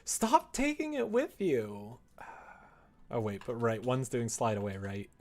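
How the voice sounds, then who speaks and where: A man is livestreaming as he works, thinking out loud in a casual, playful manner. He speaks at an unhurried, slow pace in a medium-pitched voice. His talk is giggly and informal, mostly addressed to himself. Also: very animated. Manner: giggly, self-talking, playful. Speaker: streamer working on a visual novel. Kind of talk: casual livestream chat, thinking aloud while working.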